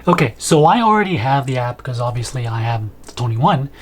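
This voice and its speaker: A man's voice: mid-range voice, animated, a little fast. A man in his thirties giving casual, conversational unboxing and setup commentary as he thinks aloud in a room at home. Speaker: video host, product reviewer. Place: small home room, indoors.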